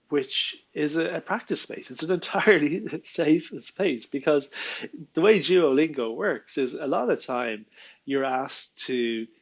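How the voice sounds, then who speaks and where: A middle-pitched Irish man with a gravelly, creaky voice explains writing tips in a relaxed, conversational way. He laughs lightly and fills pauses with ums and uhs. The recording sounds slightly dull and lo-fi. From Ireland. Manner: chatty, laughs often, frequent ums and uhs. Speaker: Irish language teacher. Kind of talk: casual spoken tutorial.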